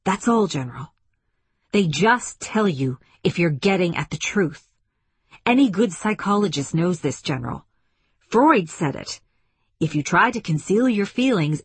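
A woman's voice: lowish voice, slightly rough, no room sound, exaggerated voice. A woman voicing a passionate, combative female linguist with an emphatic, lecturing manner in an audiobook story. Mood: insistent, lecturing.

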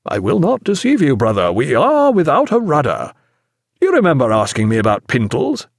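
A man's voice: a little high, wildly swooping pitch, moderate pace, breathy edge, no room sound, clean studio sound, heavily acted. A man voicing a cheerful, nonchalant sea captain in an audiobook, with a hearty, breezy manner. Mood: frank, unruffled. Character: cheerful, unruffled male sea captain at breakfast.

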